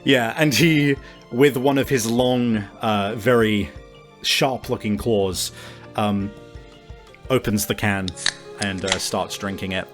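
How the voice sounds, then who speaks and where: A man improvising tabletop game narration as game master, descriptive and animated, often halting to find his wording. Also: lowish voice, over music.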